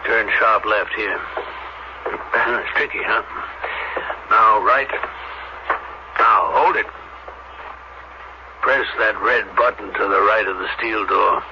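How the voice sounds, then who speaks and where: Brusque, low-voiced suspicious space doctor. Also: male voice, takes it slowly, long pauses. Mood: brusque, wary. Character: wary, irritable male doctor on a space colony. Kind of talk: old-time radio drama acting. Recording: muffled, noisy 1970s radio broadcast.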